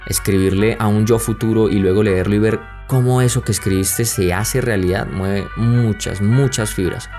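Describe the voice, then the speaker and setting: Colombian guy podcasting, lowish voice, reflective, music under him. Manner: reflective, conversational, speaks straight to listeners. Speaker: podcast host. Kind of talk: personal storytelling podcast.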